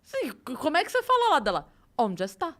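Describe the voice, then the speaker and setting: A woman with a Brazilian Portuguese accent, hosting a casual podcast chat and telling an anecdote in an animated way. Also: high-pitched, a little fast.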